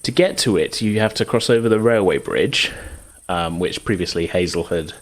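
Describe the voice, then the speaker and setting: A man's voice: low-pitched, moderate pace. A man running a tabletop game as game master, narrating casually in hesitant, conversational phrasing.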